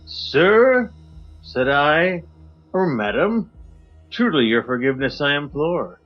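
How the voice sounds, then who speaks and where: A man co-hosting a podcast, giving a theatrical poetry reading that swings between loud highs and hushed drops. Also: very animated, slow. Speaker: podcast co-host. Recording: slightly muffled with faint music.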